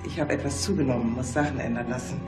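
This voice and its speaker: A woman's voice: rumbling low, fairly even intonation, quick pace. A polite office bookkeeper in a radio drama, flustered and full of small excuses. The sound is very echoey, with music behind her.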